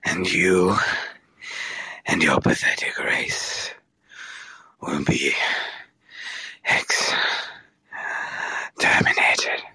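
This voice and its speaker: An actor playing a gloating, tyrannical alien emperor in an audio drama, with a raspy, gravelly voice and a grandiose, menacing delivery. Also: male voice, low voice, bright, forceful tone, full character voice, low-quality recording. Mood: weak, bitter.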